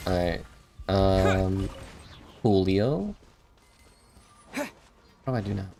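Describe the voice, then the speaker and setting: A man's voice: low-pitched, big pitch swings, drawn-out words, dark tone. A man live streaming a video game with casual, slangy commentary, often muttering and sounding unsure of himself. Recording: music behind the voice. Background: game music.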